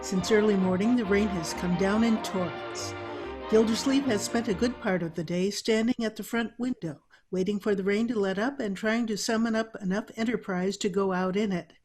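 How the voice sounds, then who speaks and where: A woman's voice: mid-range voice, moderate pace, close to an everyday voice. A woman as a composed, wry storytelling announcer, reading scripted narration in old-time radio style. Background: music.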